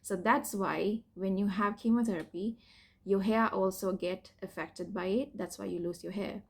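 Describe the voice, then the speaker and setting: Young woman, candid vlog talk, hesitant, many fillers, soft laughs, mid-range pitch. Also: fairly even intonation, normal speed, gravelly, slightly rough. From Sri Lanka. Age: young adult. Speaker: PhD student sharing her cancer story. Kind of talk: personal vlog, candid explanation.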